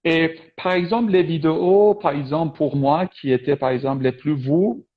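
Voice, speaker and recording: A man's voice: on the high side, normal speed, phone-quality sound. A man from Iran whose first language is Persian, interviewing a guest in casual chat over a video call and speaking hesitantly with many fillers. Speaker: interviewer, French teacher on YouTube.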